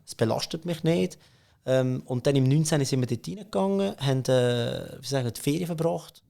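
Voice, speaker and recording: A man's voice: medium pitch, normal speed, gravelly, mellow. A middle-aged man with a Swiss German accent, telling stories in a casual podcast chat, with frequent laughs and filler words. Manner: chatty, laughs often, many fillers and restarts. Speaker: podcast guest, company CEO. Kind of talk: casual conversational storytelling.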